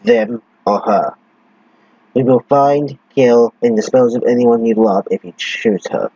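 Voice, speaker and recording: A man playing a sadistic, taunting villain in an amateur audio drama, with a husky voice and a mocking, crude, theatrical manner. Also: medium pitch, measured pace, dark tone, no room sound. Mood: taunting menace. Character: taunting, sadistic male villain toying with his victim. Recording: dull lo-fi dry recording.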